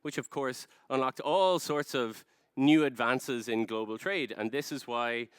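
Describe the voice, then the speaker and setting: A man with an Irish accent presents on stage in a practised conference style. His voice is clean and resonant at a middling pitch, picked up dry with little room sound. He explains step by step like a storyteller, leaning on key words. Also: measured pace, smooth, no room sound. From Ireland. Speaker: conference speaker, product design director. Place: large dark stage, indoors.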